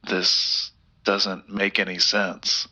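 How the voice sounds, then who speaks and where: Obsessive man, forceful sharp voice, slow halting pace, urgent, repetitive, audio-drama acting. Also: sits low, completely flat delivery, soft background music, full character voice, poor audio. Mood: stunned disbelief. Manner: urgent, halting, repeats himself. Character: obsessive, agitated man convinced of the supernatural.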